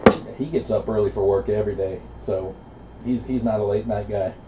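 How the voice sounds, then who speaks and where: Low-voiced man on noisy CB radio. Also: fairly even intonation, fairly quick. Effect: CB radio transmission. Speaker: CB radio operator. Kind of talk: casual radio chat. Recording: muffled CB radio reception, loud static noise.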